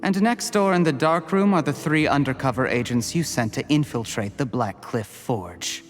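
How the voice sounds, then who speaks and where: An actor playing a cold, calculating official turned poisoner in a video game, gloating in measured, mockingly polite monologue. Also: male voice, mid-range voice, faint music behind, lightly acted. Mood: cold, defiant. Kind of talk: scripted video game voice acting.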